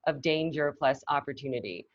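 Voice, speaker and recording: Woman guest from Massachusetts, low voice, reflective and casual, slightly dull dry line. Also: fairly quick, dry recording. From Massachusetts, north of Boston. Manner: thoughtful, peppered with "you know" and restarts. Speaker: guest, marketing executive. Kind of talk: casual conversational interview.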